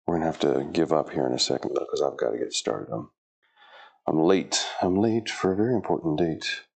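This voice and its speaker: A middle-aged man in a home gym talks his way through his workout in a casual vlog. His voice sits low and gravelly. He chats to viewers and mutters to himself, a little flustered as he gets set up. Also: fairly quick, darkish tone. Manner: chatty, self-talking, flustered by equipment trouble. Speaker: fitness vlogger.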